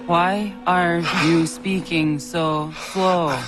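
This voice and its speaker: Game heroine: blunt, wary young woman, self-assured and challenging, medium-low voice under prominent music. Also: steady tone, very slow. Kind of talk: dramatic video game acting. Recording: music behind the voice.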